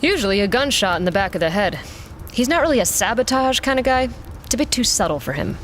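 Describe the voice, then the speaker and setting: An actress playing a tough, sardonic robot pilot in a science fiction audio drama, talking in a dry, blunt, casual manner. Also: female voice, fairly high pitch, big pitch swings, fast, a little hiss or hum. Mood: wry, matter-of-fact.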